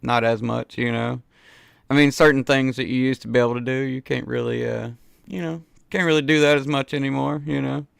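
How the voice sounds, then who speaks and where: A man in his forties hosts a video-call show from a small home studio, his voice hoarse from a cold. He sounds gravelly and smooth at once, sitting close to a dry mic. He talks in a relaxed, slangy, sincere way. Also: mid-range voice, a little fast, dry recording. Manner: laid-back, slangy, earnest. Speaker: host. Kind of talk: casual video-call interview. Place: home studio with foam panels. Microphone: studio mic in frame.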